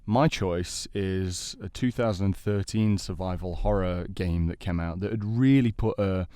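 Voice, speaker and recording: A man with a low voice speaks as a panel host in a casual debate. He lays out his points in a measured, explanatory manner. The sound is dry, with very little room in it. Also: dark tone, no room sound. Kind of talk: casual panel debate about games and film.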